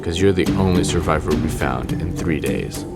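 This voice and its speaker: A man playing a gruff military rescuer in an audio drama. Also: very deep voice, normal speed, loud music behind the voice. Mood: matter-of-fact.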